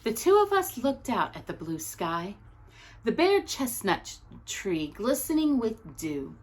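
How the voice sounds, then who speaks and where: A woman reading a children's picture book aloud as a storyteller, with expressive narration and deliberate, dramatic pauses between short phrases. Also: on the low side, husky, rough recording.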